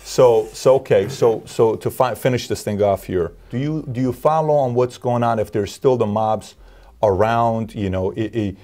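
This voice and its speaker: A man hosting an interview, asking probing questions in a lively way, often restarting his sentences. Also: fairly low pitch, normal speed. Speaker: interview host. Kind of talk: casual interview talk.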